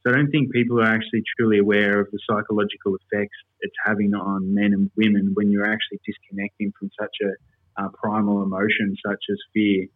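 A man's voice: lowish voice, little pitch movement, fairly quick, slightly rough, no echo. An Australian man with an Australian accent speaking earnestly and explaining things as an interview guest over a remote call. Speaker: interview guest, military veteran. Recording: dull lo-fi call audio.

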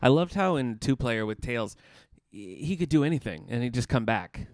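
A man's voice: mid-range voice, sing-song, normal speed, darkish tone, no room sound, clean studio sound. A man in his thirties co-hosting a casual chat, joking and rambling with filler restarts. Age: 30s. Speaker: podcast co-host.

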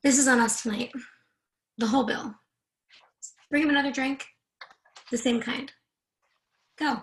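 A woman's voice: high-pitched, steady tone, a little slow. A woman playing a seductive, controlling married woman in a screenplay read, teasing and commanding by turns. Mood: imperious, dismissive.

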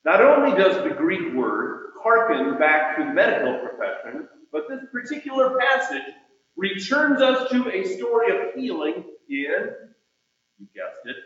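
A man preaches in a slightly high-pitched voice, taking his time over each phrase. His delivery is measured and emphatic, with deliberate pauses. The sound is heavily reverberant, as in a large hall. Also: sing-song, fairly bright tone. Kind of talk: sermon with scripture and quoted readings. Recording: muffled, very echoey hall with long reverb.

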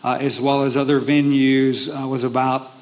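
A man talks through an interview in halting steps, leaning on frequent fillers between phrases. His voice sits at an ordinary middle pitch. He describes his stage work plainly. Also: level tone. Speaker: performer of a one-man history show, interview guest. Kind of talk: casual interview talk.

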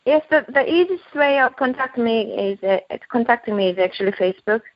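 Brief: Korean-born woman minister, halting accented speech, dull dry podcast sound. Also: a little high, lively intonation, no room sound. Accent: Korean mixed with German and British. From South Korea. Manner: halting, many restarts and fillers. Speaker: guest minister. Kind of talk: spoken prayer and podcast chat.

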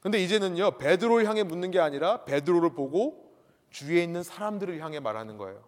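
High-voiced pastor preaching in a reverberant hall. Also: male voice, animated, fast talker. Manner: emphatic, repeats key points, asks the congregation rhetorical questions. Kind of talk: sermon.

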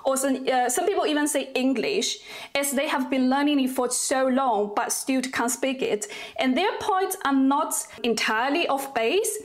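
A woman's voice: sits high, brisk. A woman whose first language is Mandarin, speaking in English as a guest in an interview, earnest and careful. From China. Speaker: guest polyglot. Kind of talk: conversational interview.

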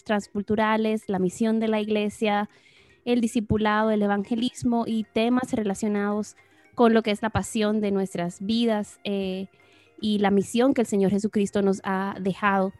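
A woman's voice: mid-range voice, little pitch movement, normal speed, a little mellow, no room sound, faint music behind. A woman hosting a podcast on missions, introducing her guest and asking him questions. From Guatemala. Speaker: podcast host. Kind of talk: welcoming interview chat.

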